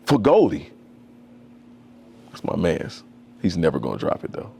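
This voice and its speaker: A man's voice: low voice, wildly swooping pitch, measured pace, vocal fry, a little mellow, a little hiss or hum. A young man, a tattoo artist, talking as a podcast guest in a loose, slangy, storytelling way. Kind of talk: casual conversation.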